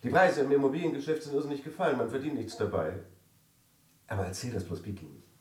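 An actor in a German radio drama playing a smooth-talking, evasive, scheming husband. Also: male voice, medium pitch, fast talker, natural voice. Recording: roomy, distant, loud piano music.

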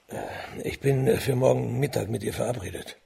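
An actor playing a judge's loyal, respectful lieutenant in a radio drama. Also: male voice, mid-range voice, steady tone, moderate pace, gravelly, dark tone.

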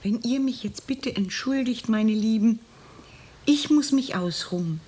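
A woman's voice: a little high, a little slow, mellow, slight background noise, lightly acted. An actress playing a gracious, weary mother in a radio drama, polite and formal. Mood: gracious, tired.

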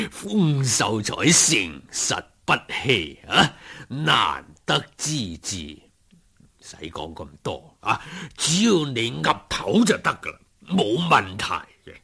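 A man voicing a genial, courteous old gentleman in a told folk story, with husky, unhurried, old-fashioned speech. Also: mid-range voice, wildly swooping pitch, exaggerated voice. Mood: pleased, insistent. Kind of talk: storybook character acting.

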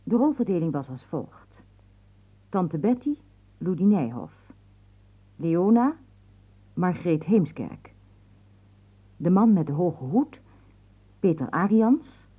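A woman radio announcer reading credits formally, measured and carefully enunciated. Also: lowish voice, very animated, breathy edge. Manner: measured, enunciated, pausing between names. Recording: muffled, narrow-band old radio recording.

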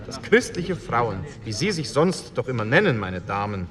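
An actor playing an indignant communist member of a postwar assembly, declaiming a biting speech. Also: male voice, sing-song, moderate pace, a crowd in the background, close to an everyday voice. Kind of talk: re-enacted parliamentary speech. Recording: hall reverb, low rumble, faint noise.

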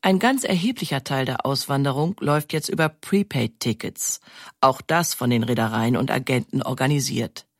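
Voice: medium pitch, gravelly, no echo, clean studio sound. A documentary narrator reading a scripted historical account.

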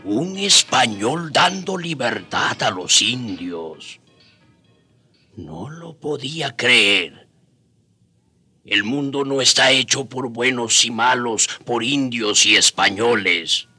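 A man playing a reflective native witness who narrates his memories in a measured way in a radio drama. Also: mid-range voice, animated, bright, forceful tone, husky, soft background music, full character voice. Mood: reflective, moved.

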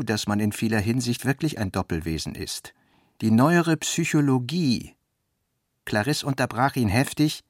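A man's voice: mid-range voice, no room sound, clean studio sound. A man reading scripted literary narration as the narrator of a radio play, voicing quoted dialogue within the prose.

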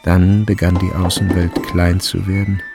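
A man narrating a literary radio drama in a measured, deliberate way. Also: deep voice, flat delivery, over music.

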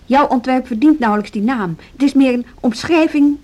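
An actress playing a determined younger deputy headmistress in a radio drama, insistent and argumentative. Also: female voice, sits high, moderate pace, a little mellow. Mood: firm, insistent. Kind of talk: Dutch social radio drama acting.

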